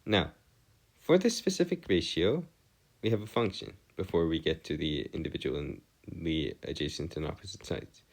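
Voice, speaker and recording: A man giving an explanatory math lesson as a tutor, phrasing things formally and pausing deliberately between thoughts. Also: deep voice. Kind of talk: explanatory math lesson over worked diagrams.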